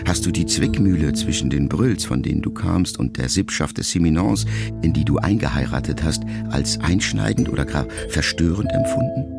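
A man reading a letter aloud as an audiobook, in a measured, reflective way. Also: rumbling low, lively intonation, creaky. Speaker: narrator. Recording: loud music underneath.

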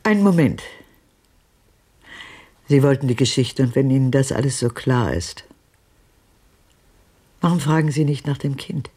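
Haunted old woman in a radio play, raspy and deep, commanding, halting delivery. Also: animated, dark tone, exaggerated voice. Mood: challenging. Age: older. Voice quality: husky, deep. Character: haunted, imperious old woman recounting her grim past. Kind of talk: German radio drama, confessional recollection.

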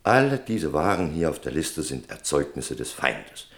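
A self-important merchant in a radio drama, with a very low, gravelly voice. He lectures and insinuates, swinging widely in pitch and leaning slowly on key words as he slips out of any commitment. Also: sing-song, exaggerated voice. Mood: lecturing. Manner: lecturing, insinuating, drawn-out emphasis. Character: evasive, self-important merchant Don Fernandez. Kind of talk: German radio play acting.

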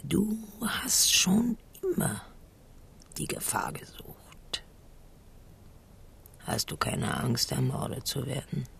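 An actress playing an anxious older noblewoman in a German radio drama, with a breathy, creaky, halting voice over a rumbling background. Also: wildly swooping pitch, in a whisper, full character voice. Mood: worried.

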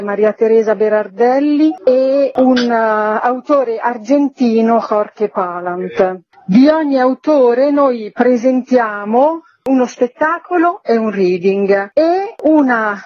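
Unhurried woman interviewee, slightly dull recording. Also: on the high side. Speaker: festival artistic director, interview guest. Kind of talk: explanatory interview talk.

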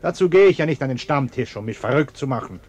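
An actor playing a defensive, sententious middle-aged bourgeois husband in a German radio play, blustering and indignant. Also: male voice, medium pitch, expressive, fast talker, a little mellow, natural voice.

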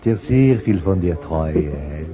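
An actor playing an amorous gentleman in a radio play, softly singing a sentimental old-fashioned serenade. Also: very deep voice, lively intonation, exaggerated voice. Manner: slow, soft, sentimental singing. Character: amorous German-speaking gentleman crooning a serenade. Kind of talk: old-fashioned romantic crooning in radio drama. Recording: dull old radio recording with music.

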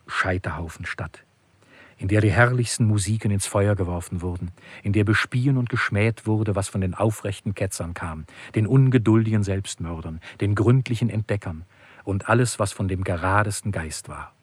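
Solemn husky man, low voice. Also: normal speed, no room sound. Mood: solemn, lyrical. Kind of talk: poetic recitation in a radio play.

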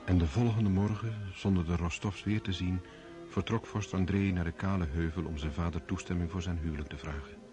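A man's voice: low voice, fairly even intonation, brisk, loud music behind the voice, lightly acted. A man narrating a radio play in a scripted storytelling style.

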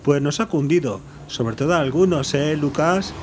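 A man's voice: fairly high pitch, lively intonation, moderate pace, slight background noise, close to an everyday voice. An actor playing an exasperated but polite office worker in an audio drama, the dry straight man. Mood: purposeful.

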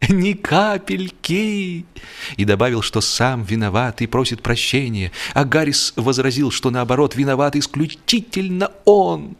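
A man reading a humorous literary story as a scripted audiobook narrator, in a measured, mock-solemn and theatrical manner. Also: medium pitch.

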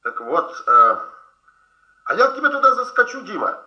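Animated, bossy, affectionate friend. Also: male voice, sits high, normal speed. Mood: firm, affectionate. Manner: teasing, admonishing. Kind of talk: Soviet radio drama acting. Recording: dull, echoey old radio play recording.

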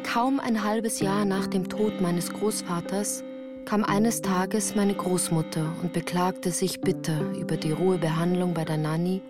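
Actress as sad woman telling her life story, radio play, lowish voice, measured and earnest, music behind. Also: lightly acted. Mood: grave, sorrowful. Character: melancholy woman recounting her hard Bavarian youth. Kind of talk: scripted literary narration for radio drama.

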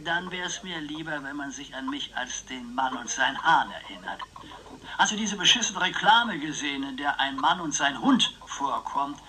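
A man's voice: medium pitch, bright, forceful tone, low-quality recording. A male actor playing an eccentric old uncle in a radio play, theatrical, rambling and needling, styling himself a dangerous psychopath. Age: older.

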